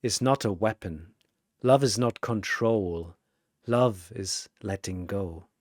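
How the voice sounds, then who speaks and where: A narrator voicing a grim, disciplined masked male avenger with cold, solemn, measured speech in a dramatic battle story. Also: sits low, a little mellow, no room sound, studio quality, voice pushed down. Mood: quiet, grave.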